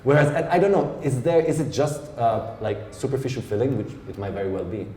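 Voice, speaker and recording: A man's voice: mid-range voice, brisk, mellow, a little hiss or hum. A man with an Italian accent, a writer and translator, asking questions in a reflective, hesitant way with many restarts. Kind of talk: conversational public Q&A. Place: lecture hall. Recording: echoey hall with faint ambience.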